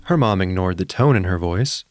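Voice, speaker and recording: A man narrating a scripted story as a podcast storyteller, in a gentle storybook way with deliberate phrasing. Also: low-pitched, animated, no room sound, clean studio sound. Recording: music behind the voice. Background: music.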